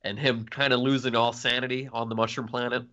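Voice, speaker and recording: A man's voice: medium pitch, level tone, brisk, sharp tone, lo-fi sound. A man co-hosting a podcast, giving casual, wry and understated commentary in a film review chat. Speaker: podcast co-host.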